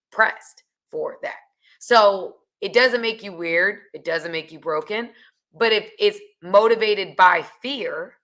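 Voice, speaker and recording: A woman hosting a podcast with a raspy voice, talking casually to her listeners. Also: fairly low pitch. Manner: casual, addresses listeners warmly. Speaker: podcast host. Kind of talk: podcast chat.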